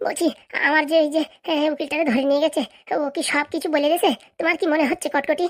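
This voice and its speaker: A woman's voice: high voice, steady tone, quick pace, natural voice. A woman voicing a scheming, ambitious village madam in a comic cartoon drama, talking like a conspirator. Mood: anxious.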